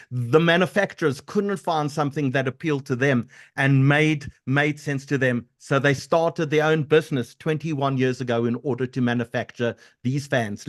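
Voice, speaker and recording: A man hosting a live webinar over a video call, chatty and full of thanks for sponsors. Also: mid-range voice. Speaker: webinar host.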